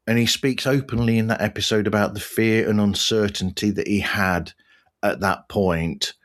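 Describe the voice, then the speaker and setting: A man with a fairly low voice speaks as a podcast host. His delivery is conversational and earnest, with a measured way of putting his thoughts together. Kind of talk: conversational podcast review and interviewing.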